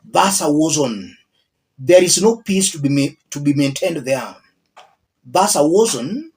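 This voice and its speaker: Male Kenyan political commentator; measured, unhurried delivery, widely varied pitch, repeats points for emphasis. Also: expressive, darkish tone. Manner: emphatic, deliberate, repeats key phrases. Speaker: political commentator, channel host. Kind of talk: political video commentary addressed to viewers.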